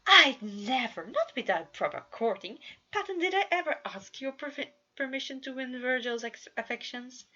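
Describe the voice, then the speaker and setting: A woman's voice: high voice, expressive, moderate pace, sharp tone, lo-fi sound, voice pushed up, full character voice. A woman voicing a theatrical, teasing princely young man in a story reading, with courtly manner. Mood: scandalized. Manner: dramatic, courtly.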